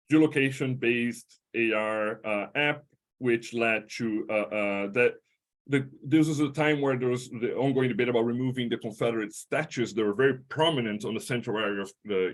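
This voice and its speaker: A middle-aged man with a Portuguese-speaking accent, a history professor giving an academic lecture, talking in a halting way with many fillers, restarts and tag questions. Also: medium pitch.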